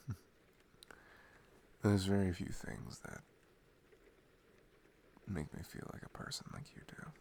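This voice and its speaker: A man's voice: low voice, gravelly, a little mellow, slight background noise, full character voice. A young man playing a sweet, playful boyfriend in an ASMR roleplay, chatting tenderly and casually in a rambling, teasing way. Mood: tender, sincere. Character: sweet, playful, affectionate boyfriend cuddling his partner. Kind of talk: ASMR boyfriend roleplay, improvised intimate chat.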